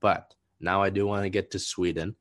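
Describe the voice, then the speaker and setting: A man's voice: low-pitched, steady tone, moderate pace, fairly bright tone, dry recording, clean studio sound. A man interviewing a soccer player, asking long, rambling questions with many restarts and fillers. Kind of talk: conversational podcast interview.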